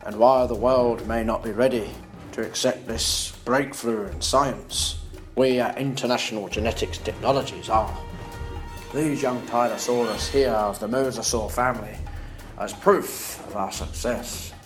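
A man's voice: mid-range voice, steady tone, natural voice. A man playing a grandiose theme park founder, presenting formally to investors in an audio drama with music behind. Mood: proud showmanship. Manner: formal, showman-like, measured phrasing. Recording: loud music bed behind.

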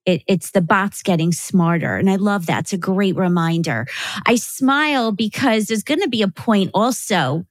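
A woman hosting a podcast interview, measured and affirming, with thoughtful pauses. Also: mid-range voice, expressive, no echo. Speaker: host.

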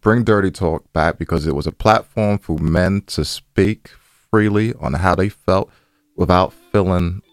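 A man's voice: low-pitched, measured pace, no room sound, clean studio sound. A man co-hosting a podcast in casual, slangy talk, repeating phrases for emphasis, with music behind him. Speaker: podcast co-host.

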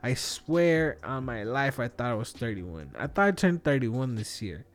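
A man's voice: medium pitch, big pitch swings, moderate pace. A man in his thirties chatting casually and solo as a podcast host, thinking aloud in a rambling, slangy way. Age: 30s. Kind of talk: casual solo podcast chat, answering questions.

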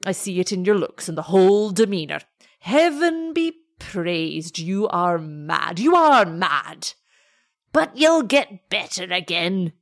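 A man's voice: high voice, very animated, fairly bright tone, no room sound, clean studio sound, full character voice. A woman reading aloud, voicing an old male Scottish shepherd who speaks in broad Scots dialect with a vehement, blustering manner. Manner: blustering, vehement, drawn-out phrasing. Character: old Scottish shepherd father, gruff and humorous.

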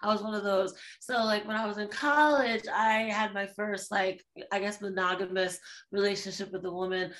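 A woman guest on a podcast, telling her story casually, with many fillers and a relaxed, chatty way of talking. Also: mid-range voice. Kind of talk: casual interview chat.

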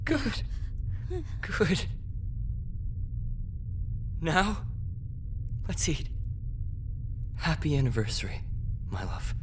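An actor playing an unstable captor, slow and deliberate, voice lurching from hushed to shrieking, music behind. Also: male voice, a little high, sing-song, noisy background, full character voice. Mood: remorseful. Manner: swings from tender murmurs to screaming rage. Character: obsessive, volatile male captor. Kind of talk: horror monologue acting.

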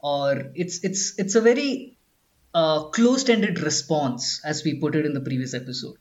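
A man from Kerala with a slightly high voice co-hosts a relaxed conversational podcast. He explains his points patiently and restarts his sentences often. The recording sounds dull and lo-fi. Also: normal speed. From Kerala, India. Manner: explanatory, many restarts and "I mean". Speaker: podcast co-host.

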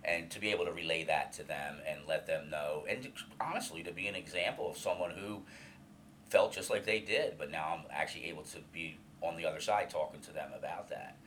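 A man's voice: low voice, fairly even intonation, brisk, a little hiss or hum. A man in his fifties, a guest in an interview, speaking openly and earnestly about his past with many restarts and fillers. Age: 50s. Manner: loud voice. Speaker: alumni coordinator, guest.

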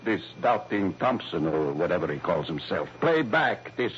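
An actor playing a gruff, agitated middle-aged father in an old-time radio drama, blunt, impatient and defensive. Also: sits low, big pitch swings, normal speed. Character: agitated, gruff retired father of a kidnapped girl. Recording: noisy, muffled vintage radio recording.

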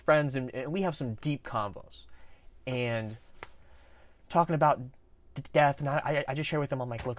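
A man hosting a podcast who stutters, with repeated sounds at the starts of words. His voice sits at a middle pitch, and he talks in a soft, confessional way. The sound is dry and close, with no room echo. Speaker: podcast host. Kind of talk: candid podcast conversation.

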